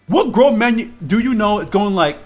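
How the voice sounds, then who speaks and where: A man's voice: high-pitched, expressive, normal speed, faint music behind. A man in his twenties, a streamer, chatting casually and rambling with lots of fillers and restarts while he draws on a live stream. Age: 20s.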